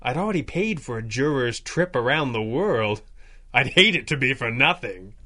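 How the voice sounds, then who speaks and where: A man playing a confident, wry masked vigilante boss in a 1930s-style radio drama, measured and articulate. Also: medium pitch, lively intonation, background noise, close to an everyday voice. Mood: wry, reassuring.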